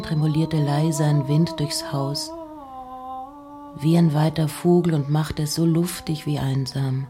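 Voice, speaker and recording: A woman reciting poetry in a measured, hushed and deliberate way, line by line. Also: deep voice. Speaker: poetry reader.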